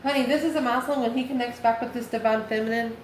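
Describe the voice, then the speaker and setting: A woman's voice: fairly high pitch, steady tone, fast. A woman giving a tarot reading straight to her viewers, in a folksy, familiar manner with emphatic repeated phrases. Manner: folksy, familiar, addresses listener as honey and baby. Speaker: tarot reader, channel host. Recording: echoey room with clear background noise.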